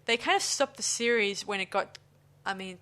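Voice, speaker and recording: A woman's voice: mid-range voice, moderate pace, pushed and bright. A woman hosting a film podcast, chatting casually and rambling with many restarts. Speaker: podcast host. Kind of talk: casual chat.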